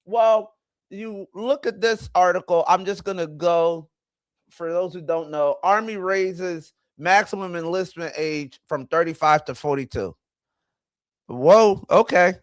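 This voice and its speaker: A man's voice: sits high, unhurried, dry recording. Rambling man in his forties, dry close sound. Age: 40s. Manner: rambling, talks to chat, self-correcting. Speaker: livestream host. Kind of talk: casual livestream commentary.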